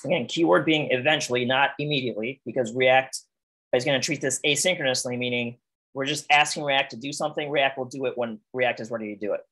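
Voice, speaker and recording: A man running an online coding lesson, walking through each step patiently and thinking aloud with small fillers. His voice is wearing thin and getting hoarse, and he sits at a pitch that is neither high nor low, talking into a computer mic on a video call. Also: fast, fairly bright tone, low-quality recording. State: losing his voice. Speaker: coding instructor.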